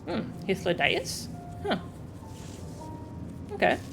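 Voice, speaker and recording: Slow-talking game streamer over loud music. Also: mid-range voice, wildly swooping pitch, creaky. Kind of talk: reading game dialogue aloud with reactions. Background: video game music.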